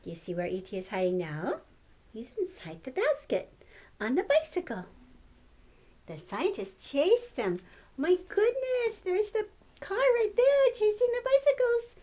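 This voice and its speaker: A woman's voice: extremely high pitch, big pitch swings, unhurried, dark tone. An older woman reading a storybook aloud to children, expressively, with exclamations and questions to the listener.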